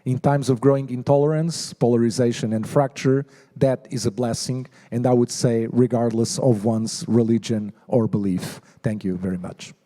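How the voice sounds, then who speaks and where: Man giving a formal speech, very warm tone. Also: mid-range voice, steady tone. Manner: formal, measured, reads listed phrases. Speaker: panel speaker. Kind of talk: prepared conference speech.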